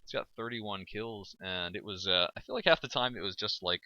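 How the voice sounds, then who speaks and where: A man casting a strategy game replay in a chatty, halting way, laughing often, through a home microphone. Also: lowish voice, bright, forceful tone, muffled recording. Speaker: game caster. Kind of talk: casual strategy game commentary.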